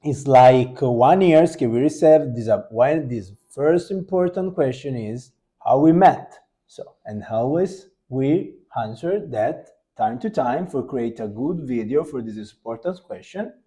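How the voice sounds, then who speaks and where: Sicilian man, non-native English, casual co-host chat, warm low tone. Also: animated. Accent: Italian. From Sicily, Italy. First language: Italian. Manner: halting, searching for English words.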